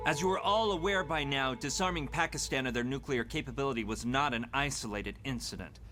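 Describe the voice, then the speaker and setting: A man's voice: mid-range voice, fairly quick, sharp tone, close to an everyday voice. An actor voicing an arrogant, impatient young male mutant leader in a motion comic, fussy and sarcastic. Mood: pompous. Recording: prominent music behind the voice.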